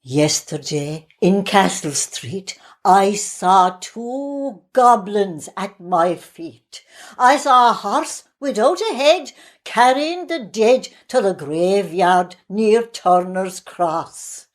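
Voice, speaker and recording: An Irish woman actor playing a haunted, persecuted outcast madwoman in a plaintive, deliberate dramatic poetry reading. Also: medium pitch, animated. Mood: anguished, fearful.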